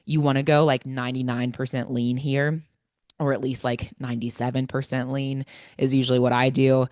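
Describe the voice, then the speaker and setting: A woman's voice: a bass voice, little pitch movement, normal speed, a little mellow, dry recording, studio quality. A woman hosting a casual solo podcast on nutrition, sounding stuffy and congested, chatty and informal with lots of fillers. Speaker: podcast host and fitness coach.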